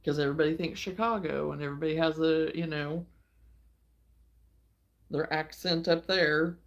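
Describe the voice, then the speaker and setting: A woman from Illinois hosting a relaxed livestream, chatting with viewers in a folksy way and laughing often. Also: sits low, moderate pace, dull audio. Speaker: livestream host. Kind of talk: casual chat with viewers. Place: home.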